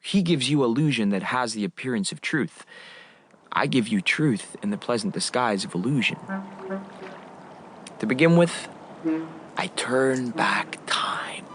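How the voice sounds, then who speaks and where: An actor playing a wistful young son who narrates his memory play in a poetic, measured radio drama monologue, addressing the audience. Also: mid-range voice, lively intonation, fairly bright tone, breathy edge, soft background music. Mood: wry, lyrical.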